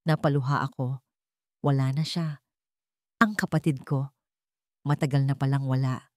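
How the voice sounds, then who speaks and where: A woman's voice: a bass voice, steady tone, normal speed, no room sound, clean studio sound. A woman audiobook narrator reading a dramatic first-person story in a solemn, emotive manner.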